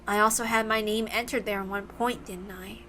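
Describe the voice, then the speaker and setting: A woman's voice: mid-range voice, fairly even intonation, brisk, bright, forceful tone, slight background noise, lightly acted. A woman voicing a lonely, wistful young witch apprentice from a visual novel in a confessional way. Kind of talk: visual novel character read aloud. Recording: music behind the voice.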